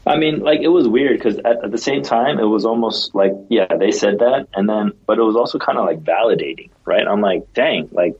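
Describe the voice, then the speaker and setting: A man's voice: fairly low pitch, lively intonation, brisk, hardly any pauses, a little mellow, slightly rough, dull audio. A man co-hosting a casual podcast, rambling along with lots of "like" and restarts. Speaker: podcast co-host.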